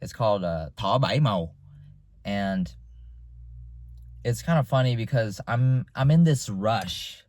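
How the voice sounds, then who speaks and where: A man's voice: fairly low pitch, lively intonation, slow pace, long pauses, a little mellow, dry recording. A man in his twenties, raised in the United States, talking through his thoughts in a loose, reflective monologue with frequent restarts. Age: 20s. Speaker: vlogger. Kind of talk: casual unscripted monologue.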